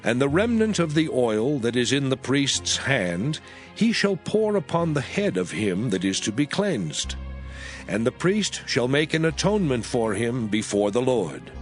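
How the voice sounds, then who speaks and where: A man narrating a dramatized audio Bible in a solemn, measured way over music. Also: mid-range voice. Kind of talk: dramatized scripture reading. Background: background music.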